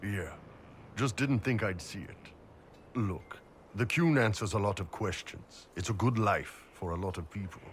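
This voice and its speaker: A man plays a big horned warrior from a fantasy video game. He is gruff and laid-back, with a low voice and blunt, casual talk. There is some noise behind him. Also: unhurried, lightly acted. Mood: uneasy.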